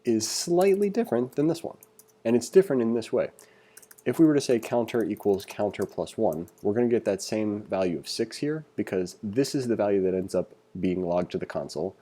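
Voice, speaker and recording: Low, mellow-voiced man giving a casual tutorial. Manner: informal, conversational, thinking aloud. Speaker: programming tutorial instructor. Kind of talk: casual screencast tutorial, reading along and commenting.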